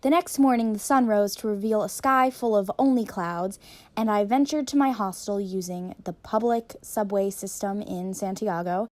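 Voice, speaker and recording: A woman's voice: mid-range voice, normal speed, darkish tone, a little hiss or hum. A young woman narrating her travel vlog in a wry, self-deprecating voice-over. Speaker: travel vlogger.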